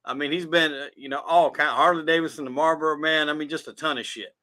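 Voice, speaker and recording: A man's voice: fairly high pitch, steady tone, fast, sharp tone. A man from South Carolina with a Southern drawl, a podcast host talking as a guest in a loose, profane, casual interview.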